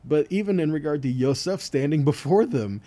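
Guy doing a casual bible teaching, soft mellow tone, pitch moves around a lot, asks questions as he goes. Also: lively intonation, normal speed. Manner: conversational, checks in with rhetorical questions and "right?". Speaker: Bible teacher giving a weekly scripture teaching. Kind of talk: informal teaching sermon with scripture readings.

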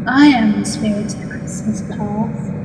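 A woman's voice: medium pitch, unhurried, natural voice. A woman playing a stern, composed spirit guide in an audio play, speaking formally. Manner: formal, admonishing. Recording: echoey, reverberant, noisy audio play mix.

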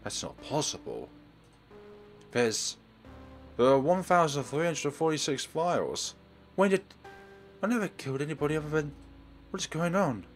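A man's voice: on the high side, sing-song, normal speed, pauses often, faint music behind. A man hosting a let's play, dramatically reading a visual novel aloud and commenting on it.

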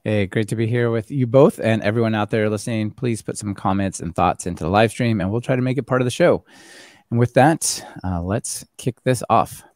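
A man's voice: fairly low pitch, fairly quick, darkish tone, dry recording. A middle-aged man hosting a live-stream podcast, upbeat and welcoming, on a studio mic in a home office. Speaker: podcast host. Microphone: studio mic on boom arm.

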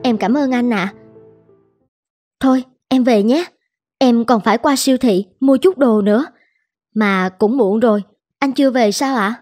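A woman's voice: on the high side, unhurried, pauses often, darkish tone, soft background music. A woman voicing a dissatisfied, nagging young wife in a cartoon drama, with a reproachful way of talking. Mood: polite. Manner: complaining, reproachful.

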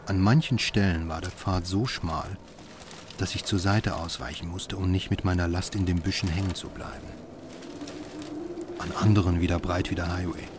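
Actor as low-voiced, haunted father narrating. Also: steady tone, moderate pace, noisy background, natural voice. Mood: weary, dreamlike. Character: haunted, exhausted father narrating his own horror tale. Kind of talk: scripted first-person radio play narration.

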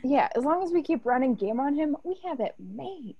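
A woman's voice: high voice, expressive, normal speed, lo-fi sound. A woman reading a sly, scheming ex-convict diner worker in a comedy table read. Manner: sly, wheedling.

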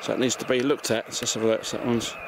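A man's voice: mid-range voice, level tone, very fast. A man in his forties with an English accent casually demonstrating gear as a video host. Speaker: amateur radio video host. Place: outdoors in a garden and on a street. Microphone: camera mic, arm's length or further. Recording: outdoor camera audio with background noise.

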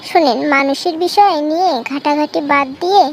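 A woman's voice: sits high, fairly quick, slight background noise. A woman voicing a cheeky, defiant young village girl in a cartoon, talking back with a Bangladeshi accent. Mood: defiant, sassy. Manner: sassy, argumentative back-talk. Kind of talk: amateur cartoon dialogue.

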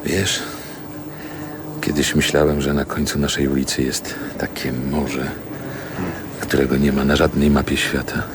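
An older male actor playing a reflective poet recalling his youth in a radio drama, speaking in a measured literary manner. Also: very deep voice, flat delivery, noisy background. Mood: calm, wistful. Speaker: narrator and protagonist.

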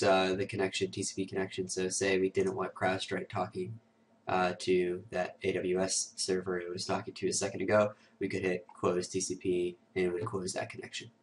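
A man with a raspy, cold-roughened voice, sniffling now and then, hosting a casual screen-recorded software tutorial full of "uh" and "um". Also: sits low, monotone, moderate pace, recorded from a distance, a little hiss or hum. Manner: casual, filler-heavy walkthrough with many "uh" and "um". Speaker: host of a security tutorial show.

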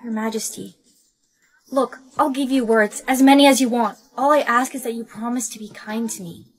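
A woman's voice: on the high side, fairly even intonation, normal speed, breathy edge, poor audio. A woman playing a meek, kindly young servant girl in a scripted skit, timid and apologetic. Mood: gentle, timid.

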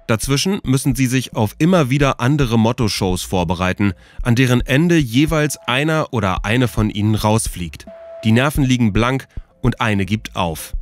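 A man's voice: medium pitch, expressive, moderate pace, soft background music. A man reading a scripted documentary narration for a podcast.

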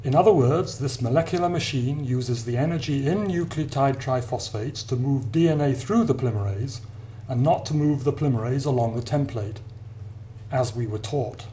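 A male academic lecturer narrates a science explainer at a middling pitch. He speaks deliberately, pausing between phrases. The recording carries a noticeable hum and background noise. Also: warm tone, highs cut off. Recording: clear background hiss and hum.

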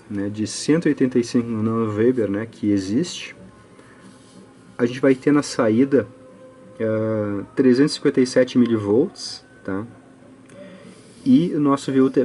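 A man's voice: medium pitch, warm tone, slight background noise. A man with a Brazilian accent giving an informal technical tutorial, explaining hesitantly step by step with frequent check-in tags. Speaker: host of an audio electronics channel. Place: indoors at a work table.

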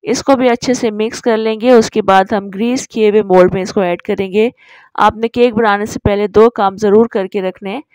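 A woman's voice: mid-range voice, steady tone, moderate pace, a little mellow, dry recording. A woman narrating a recipe tutorial as a voice-over, explaining each step in an instructive way. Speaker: cooking channel host.

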